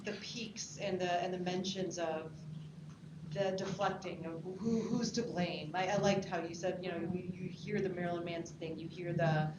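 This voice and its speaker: A fairly low-voiced woman shares feedback in a casual group discussion, picked up from across an echoey room. She speaks tentatively, cushioning her opinions, with clear noise around her. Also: steady tone, moderate pace, dull audio. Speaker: participant giving script feedback. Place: indoor room at a table read, group around a table. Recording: distant mic in echoey room, noisy.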